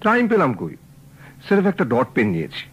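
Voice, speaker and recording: A chatty young would-be actor. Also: male voice, a little high, wildly swooping pitch, a little fast. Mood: casual, evasive. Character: young man, aspiring actor, nephew. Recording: dull old radio recording, faint hiss.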